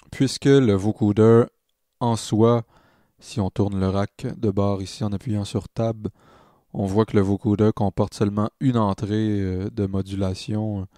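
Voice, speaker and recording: Slow, low-voiced Quebec man. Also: dark tone, no echo, studio quality. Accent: Quebec French. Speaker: online music production tutor. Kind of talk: informal software tutorial narration.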